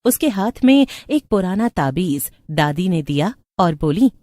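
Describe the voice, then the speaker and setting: Casting: female story narrator, slightly low voice, close dry sound, scripted storytelling. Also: very animated, unhurried, warm tone, no room sound.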